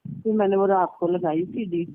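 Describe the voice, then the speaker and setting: A woman's voice: on the low side, fairly even intonation, moderate pace, no echo. A woman, chatting and telling stories casually on a recorded phone call. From Pakistan. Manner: chatty, storytelling. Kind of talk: casual private phone chat. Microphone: telephone.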